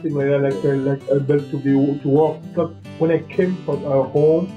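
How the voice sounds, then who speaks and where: Brief: man, interview subject, flat delivery, hesitant and plainspoken; muffled lo-fi recording with faint guitar under it. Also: fairly high pitch. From Cameroon. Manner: halting, leans on "you know what I mean". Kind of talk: casual personal storytelling. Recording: dull lo-fi sound with faint music.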